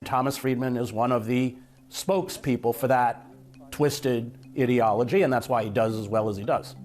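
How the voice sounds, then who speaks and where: A man's voice: mid-range voice, fairly even intonation, moderate pace, a little mellow. A man in an interview, a former foreign correspondent, speaking in an impassioned, emphatic way.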